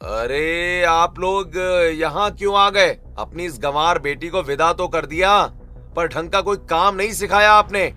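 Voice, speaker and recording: A man's voice: on the high side, a little slow, sharp tone. A man voicing a hot-tempered, contemptuous young husband in a family drama story, scolding impatiently. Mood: contemptuous anger.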